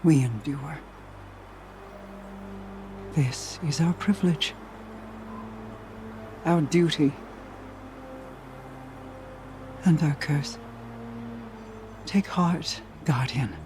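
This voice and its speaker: An actress playing a grave, compassionate woman sage, speaking in a measured, formal, poetic way for a game cutscene. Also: deep voice, background noise. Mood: solemn resolve. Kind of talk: dramatic video game cutscene.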